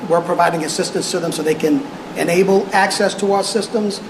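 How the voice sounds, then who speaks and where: Male official, press briefing. Measured, halting, repetitive phrasing; roomy echo, noisy recording. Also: high voice, fairly even intonation. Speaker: police official giving press conference. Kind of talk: press conference answers. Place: indoor press conference room. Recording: echoey noisy press conference room.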